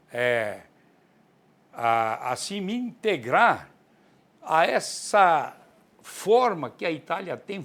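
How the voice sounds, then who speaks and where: A man's voice: sits high, fairly bright tone. An older man from southern Brazil, an author giving an earnest interview answer and often adding "né". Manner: earnest, enthusiastic; frequent tag "né".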